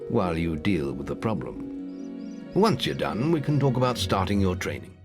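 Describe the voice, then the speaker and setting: An actor playing an older, stern but kindly guildmaster in a fantasy video game. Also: male voice, low voice, sing-song, normal speed, music in the background. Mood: gruff, kindly.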